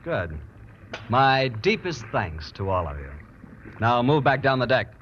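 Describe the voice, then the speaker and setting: A man's voice: medium pitch, very animated, unhurried, fairly bright tone. An actor playing a cocky, cold gunman with a sneering, mock-friendly manner in an old-time radio drama. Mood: smug, wary. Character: cocky gun-wielding male villain, mocking and cold. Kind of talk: 1940s radio drama acting. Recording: muffled, noisy old radio recording.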